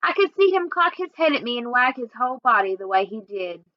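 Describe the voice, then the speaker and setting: A woman reading a children's novel aloud in an expressive storytelling way. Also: on the high side, a little fast, no room sound, muffled recording. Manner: expressive, acts out dialogue. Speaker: reader.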